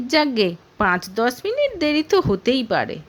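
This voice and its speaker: A woman's voice: on the high side, huge pitch swings, unhurried, heavily acted. A woman voicing a meek, placating young man in an audio story, defensive in manner. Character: meek, placating young man under his mother's thumb.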